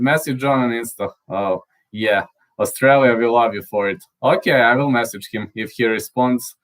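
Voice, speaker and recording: Halting man, English his third language. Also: mid-range voice, a bit bright, rough recording. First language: Serbian. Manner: halting, with many fillers and restarts; laughs often, spells out words he can't pronounce. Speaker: channel host. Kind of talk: casual live chat, reading viewer comments.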